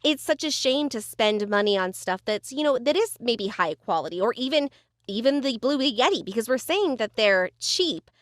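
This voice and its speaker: A woman's voice: a little high, animated, normal speed, no echo, studio quality. A woman voice actor co-hosting a podcast, talking in an earnest, advising way with plenty of "you know" fillers. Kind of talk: casual chat.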